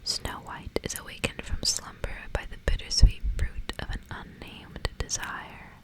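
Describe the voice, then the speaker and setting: A woman speaks in a hushed, breathy whisper throughout, in the style of an ASMR host. Her delivery is casual and unhurried in structure, drifting loosely between chat and reading. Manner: casual, rambling.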